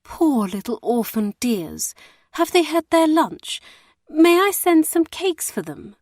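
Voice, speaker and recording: Coy gushing lady, high and sugary, woman doing the voice, dry sound. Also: big pitch swings, takes it slowly, darkish tone, no echo, exaggerated voice. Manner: coy, gushing, wide-eyed. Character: sly noblewoman feigning simpering sweetness.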